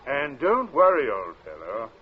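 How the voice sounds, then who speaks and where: An actor playing a genial, smoothly dissembling middle-aged gentleman in an old radio drama, hemming affably. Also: medium pitch, wildly swooping pitch, measured pace, heavily acted. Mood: genial, soothing. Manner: affable, hemming, affectedly casual. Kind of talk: golden age radio drama acting. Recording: dull, hissy old radio recording.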